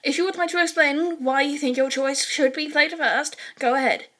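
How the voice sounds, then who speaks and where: A woman's voice: sits high, steady tone, normal speed, bright, forceful tone, pitched above the performer's own voice. A woman voicing an earnest, formal teenage class president with a stiff, wordy, officious manner. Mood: formal, insistent.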